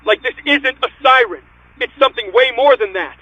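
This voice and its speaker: Very high, agitated man acting a panicked guy. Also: animated, moderate pace, bright, forceful tone, husky, no room sound, muffled recording, exaggerated voice. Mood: alarmed, fearful. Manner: agitated, argumentative, exclaiming. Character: panicked, combative young man facing doom. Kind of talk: dramatic dialogue.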